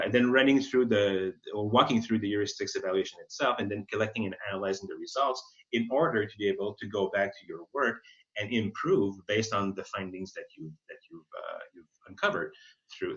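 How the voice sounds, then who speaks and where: A man's voice: fairly low pitch, a bit bright. A man co-presenting a webinar informally, hesitant and repeating his phrases as he explains, on lo-fi audio. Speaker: webinar co-presenter, accessibility expert. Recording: dull, lo-fi audio.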